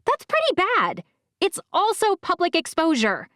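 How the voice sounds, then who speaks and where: A woman's voice: very high voice, wildly swooping pitch, measured pace, fairly bright tone, no echo, clean studio sound, pitched above the performer's own voice, heavily acted. A woman voicing a bossy, exasperated young girl who scolds the boys. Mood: scolding.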